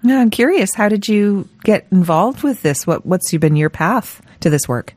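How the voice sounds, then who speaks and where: A woman interviewer asking measured, curious questions. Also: medium pitch, expressive, a little mellow, no echo.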